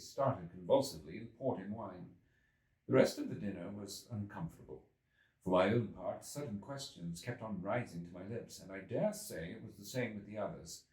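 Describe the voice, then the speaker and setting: A man's voice: on the low side, darkish tone. A man reading a literary story aloud as scripted narration, in a dramatic storytelling manner. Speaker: audiobook reader. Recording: roomy echo, distant from mic.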